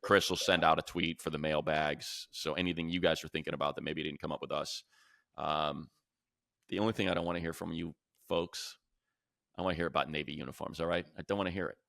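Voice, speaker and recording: A man's voice: rumbling low, steady tone, brisk, vocal fry, bright, forceful tone, no room sound, studio quality. An American man co-hosting a sports show with casual, opinionated commentary, speaking emphatically and repeating phrases for effect. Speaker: sports podcast co-host and commentator.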